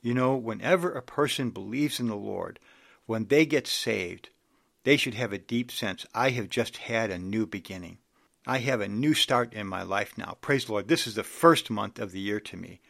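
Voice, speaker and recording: A man's voice: on the low side, moderate pace, creaky, a bit bright. A man in his sixties preaching an earnest sermon, reading scripture aloud and exhorting his listeners. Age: 60s.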